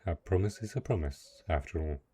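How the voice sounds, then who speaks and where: Man acting a deep, gentle, lonely dark knight. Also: lively intonation, brisk, husky, exaggerated voice. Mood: quietly possessive. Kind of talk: slow, intimate fantasy audio roleplay.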